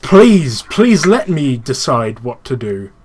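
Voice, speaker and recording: A man's voice: medium pitch, very animated, takes it slowly, breathy edge. A man hosts a casual gaming commentary in a mid-range voice. He explains like a keen fan and gets exasperated, swearing as he goes. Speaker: gaming channel host and player.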